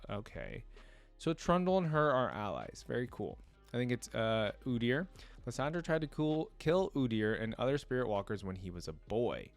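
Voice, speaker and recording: A man with a mid-pitched voice gives casual reaction commentary. He moves between reading aloud and thinking out loud in informal, musing asides, like an online video creator talking to viewers. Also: very animated, moderate pace, soft background music.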